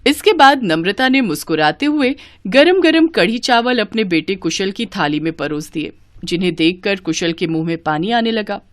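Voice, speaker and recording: A woman narrating a scripted Hindi family story as storyteller, with an expressive storybook delivery. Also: mid-range voice, normal speed, few pauses, no echo, studio quality.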